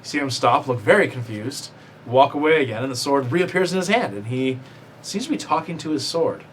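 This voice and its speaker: A man's voice: mid-range voice, animated, a little fast. A man running a tabletop game as game master, narrating with playful laughs and many fillers. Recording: noisy room recording.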